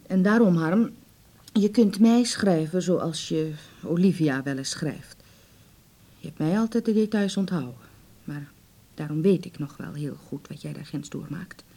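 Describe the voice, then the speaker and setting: A devoted middle-aged mother in a radio drama. Her voice is lowish and soft-toned, with a breathy, husky edge. She speaks hesitantly and pleadingly, full of worry for her grown child. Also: a little slow, exaggerated voice. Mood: earnest, tender. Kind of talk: naturalistic Dutch radio play acting.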